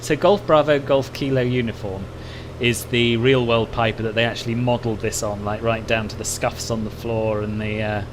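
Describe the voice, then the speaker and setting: Male stream host, native English speaker, medium pitch, casual, polite, chatty, audible background drone. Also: moderate pace, hardly any pauses. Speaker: host of a flight simulator livestream. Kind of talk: casual chat, reading viewer comments. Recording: clear steady background hum.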